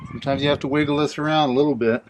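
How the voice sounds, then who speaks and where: A man's voice: a little high, fairly even intonation, racing. Man giving hands-on tutorial over background noise. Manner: plain-spoken, step-by-step. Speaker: DIY install demonstrator. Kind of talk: casual hands-on tutorial narration.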